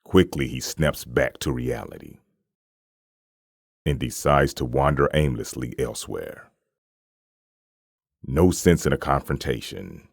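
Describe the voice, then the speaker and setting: A male narrator with an extremely low, creaky voice reads dramatic comic-book narration. He tells it in a measured, ominous way, as scripted storytelling. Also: no room sound, clean studio sound.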